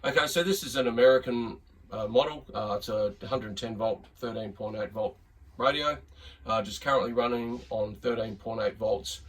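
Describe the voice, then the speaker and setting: An Australian man with a husky, medium-pitched voice presents a casual hobby video. He rambles in a laid-back, hesitant way, and the microphone picks him up from a little distance. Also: moderate pace, fairly bright tone. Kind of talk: casual unscripted demonstration. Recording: distant mic, slightly muddy room sound.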